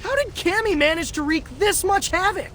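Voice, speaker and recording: A man playing an earnest, anxious but decisive teenage mutant turtle leader in an animated game. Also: very high voice, moderate pace, a little hiss or hum. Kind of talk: animated video game acting.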